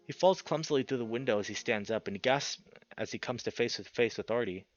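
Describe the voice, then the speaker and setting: A man's voice: medium pitch, quick pace, dull audio. A man narrating a visual novel in a dramatic, immersed storytelling way, as a player reading aloud.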